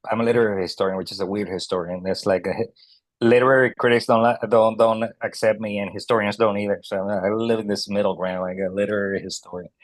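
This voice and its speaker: A man's voice: fairly low pitch, fairly even intonation, fast, dry recording. A man speaking as a literary historian at an online book club, casual and digressive, with many fillers and restarts. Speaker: translator and scholar guest.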